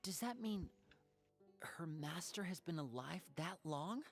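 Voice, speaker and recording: A woman playing an earnest, kind young traveler in an anime-style video game, speaking gently and sincerely. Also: lowish voice, animated, takes it slowly. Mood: curious. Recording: music under the voice.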